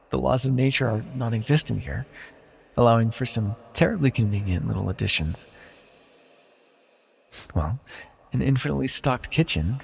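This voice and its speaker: A man playing an immortal banished villain sorcerer in a fantasy audio roleplay, speaking softly and formally with condescending, possessive tenderness and a gravelly, husky voice. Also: medium pitch. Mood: pleased, showing off. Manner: soft-spoken, condescending, theatrically formal. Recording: long hall-like reverb.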